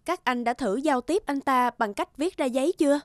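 A woman voicing a calm, friendly female lawyer in a dubbed cartoon. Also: high-pitched, moderate pace, no echo, clean studio sound, close to an everyday voice. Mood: gentle. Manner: gentle, friendly.

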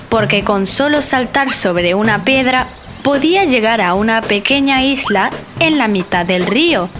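Woman narrating a children's story over music. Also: on the high side.

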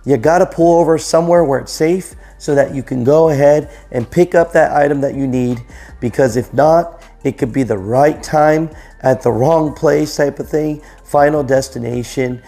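A man's voice: a little high, normal speed, mellow. A man in his thirties hosting a trucking news show, talking casually to camera from his living room on a lapel mic, chatty and addressing viewers directly. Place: living room, seated on a couch. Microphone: clip-on lapel mic.

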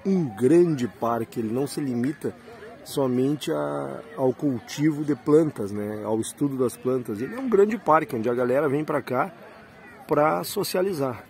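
A man's voice: medium pitch, a little slow, gravelly, darkish tone. A man, chatting casually to viewers in a vlog and pointing things out as he goes. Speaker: rideshare driver and travel vlogger. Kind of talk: casual walking-tour vlog.

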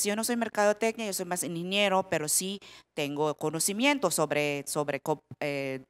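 A woman from the Philippines with a Filipino accent, speaking Spanish as a second language on a conference panel, halting and searching for words, often adding "¿no?". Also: lowish voice, clear, resonant tone. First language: not Spanish. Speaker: panelist, product development director. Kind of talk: panel talk.

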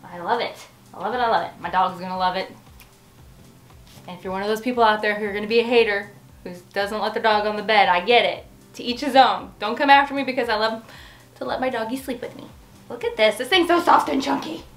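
A woman's voice: a little high, fast, faint music behind. A middle-aged woman giving a casual, gushing, chatty review to camera in a bedroom. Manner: gushing, expressive. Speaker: home decor vlogger. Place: furnished bedroom indoors.

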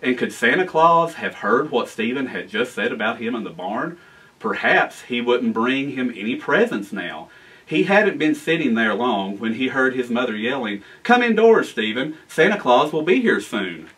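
An American man in his forties reading a children's storybook aloud in an animated, expressive way. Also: medium pitch, normal speed, far from the mic, low-quality recording. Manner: animated, expressive reading with asides to young listeners. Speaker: guest storyteller, town official. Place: library room with bookshelves, indoors.

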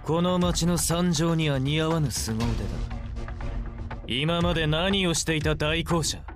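An actor playing a brooding, vengeful antihero in an anime fighting game, declaiming in a grandiose, archaic manner. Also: male voice, fairly high pitch, unhurried, close to an everyday voice. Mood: brooding, haughty. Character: theatrical, brooding, vengeful male antihero wreathed in flame. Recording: dramatic music behind.

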